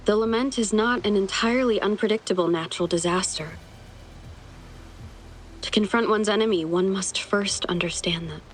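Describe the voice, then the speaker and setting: A woman playing a solemn, resolute female leader, narrating gravely and earnestly in a scripted video game story. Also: mid-range voice, normal speed, faint music behind, lightly acted. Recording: steady background noise and ambience.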